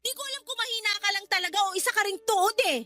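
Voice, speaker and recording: A woman's voice: very high voice, rapid-fire, bright, forceful tone, faint music behind. A woman acting as an indignant, hurt young girlfriend who argues back sarcastically in a radio drama. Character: exasperated, hurt young girlfriend standing her ground.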